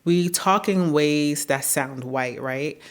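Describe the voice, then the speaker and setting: A woman with a naturally deep voice talking to camera in an earnest, measured way, often checking in with "right?". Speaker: YouTube creator. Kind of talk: reflective talking-head commentary.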